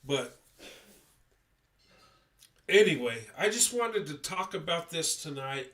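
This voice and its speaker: A man's voice: on the high side, animated, takes it slowly, a bit bright. A congested man hosting a casual livestream, talking in a rambling, hesitant way with many fillers and restarts. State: congested, stuffy nose. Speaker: livestream host.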